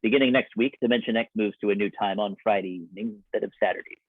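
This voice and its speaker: A man announcing and narrating from a script in old-time radio style, with a theatrical, deliberate delivery. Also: lowish voice, dry recording. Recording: dull, band-limited radio broadcast sound.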